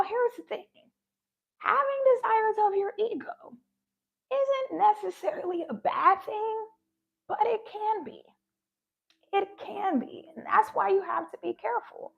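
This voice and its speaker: A woman's voice: extremely high pitch, gravelly, lo-fi sound. A woman giving a heartfelt spiritual talk, her voice shaky and sounding close to tears. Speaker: spiritual vlogger.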